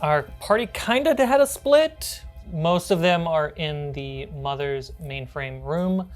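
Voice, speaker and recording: A woman's voice: low-pitched, lively intonation, takes it slowly, faint music behind. A woman narrating a tabletop horror role-playing game as the game master.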